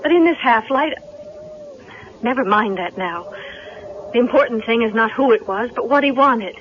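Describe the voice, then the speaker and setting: An actress playing an earnest, anxious young woman in a vintage radio drama, speaking with measured dramatic feeling. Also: on the high side, animated, a little hiss or hum, natural voice. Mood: urgent, determined. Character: earnest, grieving young woman returning home, anxious and determined. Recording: muffled 1970s radio recording.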